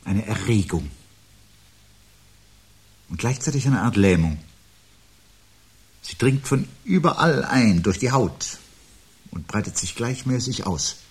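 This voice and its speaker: Low-voiced, brooding prophet of doom. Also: male voice, expressive, slightly rough, exaggerated voice. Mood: brooding unease. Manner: measured, formal, portentous diction. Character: brooding husband gripped by foreboding of doom, solemn and pedantic. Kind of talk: 1970s German radio play acting.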